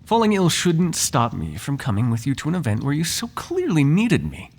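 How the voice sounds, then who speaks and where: A man's voice: a little high, huge pitch swings, normal speed, creaky, a little mellow, husky, heavily acted. A man playing a devoted, flirtatious butler in an intimate ASMR roleplay, speaking in formal, genteel phrases with playful teasing. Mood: concerned, guilty. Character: devoted, flirtatious, gossip-loving male butler to a noble.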